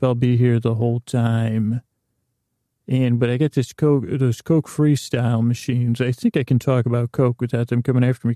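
A man's voice: medium pitch, mellow, no echo, studio quality. A man hosting a bedtime podcast, talking in a meandering, hesitant monologue that often trails off and circles back. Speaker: host of a bedtime sleep podcast.

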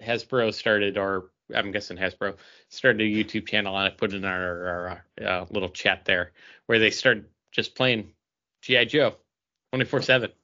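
A man's voice: on the low side, steady tone, normal speed, bright, forceful tone. A man co-hosting a podcast in casual chat, with a wry, noncommittal way of talking. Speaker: podcast co-host. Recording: dull, lo-fi podcast audio.

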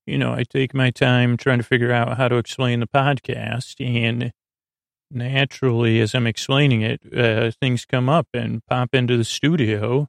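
A man with a gravelly, fry-heavy voice hosts a sleep podcast in a close, dry recording. His pitch stays quite flat as he meanders through his monologue. He halts, stammers and repeats fragments of words as he goes. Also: no room sound. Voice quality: creaky, gravelly. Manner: meandering, halting, stammering, repeats and trails off mid-word. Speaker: podcast host.

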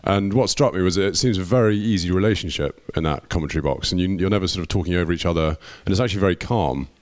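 A man's voice: sits low, quick pace. A man chatting casually as a panel interviewer, tentative and self-effacing. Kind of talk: casual chat.

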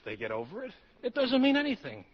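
A man's voice: very high-pitched, wildly swooping pitch, quick pace, full character voice. A man in a 1940s radio drama playing a playful college professor in love, teasing and tender. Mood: tender, earnest. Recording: dull vintage radio recording, faint music.

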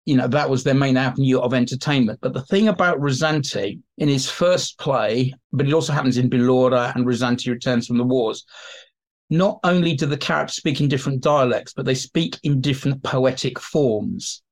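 A man's voice: medium pitch, a little fast. A man speaking as an expert guest in an interview, explaining history in a chatty, informal way and slipping into acted-out asides.

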